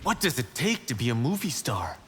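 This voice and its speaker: A man's voice: mid-range voice, sing-song, a little fast, fairly bright tone, a little hiss or hum. A man playing a proud, eager young martial arts monk in a video game, boastful and self-assured. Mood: curious, casual. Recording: long hall reverb.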